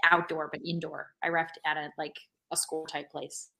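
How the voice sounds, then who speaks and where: A woman's voice: sits low, flat delivery, moderate pace, vocal fry, bright, forceful tone. An American woman co-hosting a casual sports podcast, with a wry, self-deprecating way of talking. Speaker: podcast co-host.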